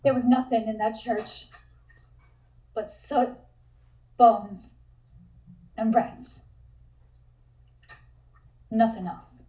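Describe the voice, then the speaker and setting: A woman's voice: a little high, steady tone, very slow, pauses often, dark tone. A woman playing a terse, guarded woman under questioning in a dramatic table read. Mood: guarded, grim. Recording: dull, echoey room sound.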